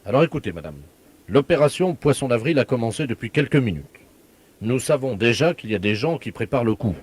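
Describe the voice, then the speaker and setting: A man playing an officious, deadpan village mayor who gives solemn, insistent warnings in a prank phone call. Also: medium pitch, fairly quick, slightly rough.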